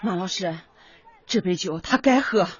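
Expressive long-suffering mother played by an actress. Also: mid-range voice, moderate pace, muffled recording, a crowd in the background, natural voice. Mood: weary, confiding. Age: middle-aged. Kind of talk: radio drama acting.

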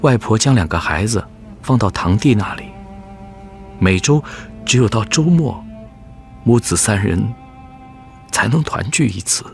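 Grandson narrator, radio drama, fairly deep voice, attentive and reflective, strings behind. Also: male voice, lively intonation, unhurried, gravelly, long pauses. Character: attentive, respectful grandson narrating his family's past. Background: cello and string music.

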